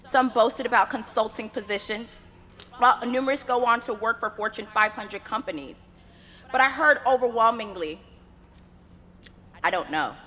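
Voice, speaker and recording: A young woman, a native Yoruba speaker, delivers a graduation address with earnest, measured oratory and rhythmic lists. Her voice is bright and edged, sitting slightly high for a woman. It rings out through the long reverb of a large ceremony space. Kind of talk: commencement address.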